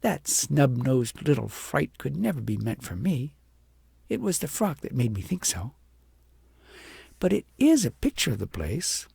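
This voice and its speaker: A man's voice: mid-range voice, big pitch swings, unhurried, vocal fry, warm tone, breathy edge, no room sound, studio quality, heavily acted. A man voicing a vain, scheming, self-pitying young shepherd girl in a haughty, dramatic storybook manner. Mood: disdainful. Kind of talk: acted audiobook storytelling.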